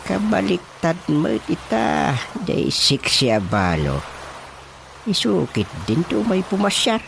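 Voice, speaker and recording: A man's voice: on the high side, wildly swooping pitch, slow, vocal fry, a little hiss or hum, heavily acted. Flustered, wildly swooping Ilocano chairman. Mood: musing. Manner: exclamatory, stammering, muttering to himself. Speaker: radio drama actor. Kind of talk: comic radio drama acting. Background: prominent music.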